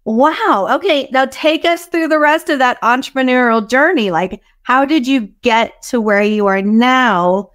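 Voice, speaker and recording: A woman's voice: high-pitched, a little slow, hardly any pauses, fairly bright tone. A woman podcast host chatting in a friendly, effusive and enthusiastic way with a guest over a video call. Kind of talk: friendly interview chat. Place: small home office, indoors.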